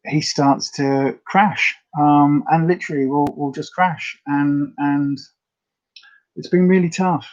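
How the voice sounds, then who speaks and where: A woman with a slightly raspy voice, chatting casually and rambling to viewers as the host of a live health update. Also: low voice, darkish tone, muffled recording. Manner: chatty, rambling, many fillers.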